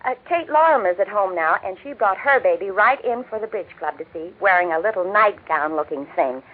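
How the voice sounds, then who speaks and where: A woman's voice: mid-range voice, animated, a little fast, close to an everyday voice. An actress playing a fussy, old-fashioned middle-aged mother and grandmother-to-be in a 1940s radio drama. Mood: chatty, nostalgic. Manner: chatty, fussing, reminiscing. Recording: tinny, muffled 1940s radio recording.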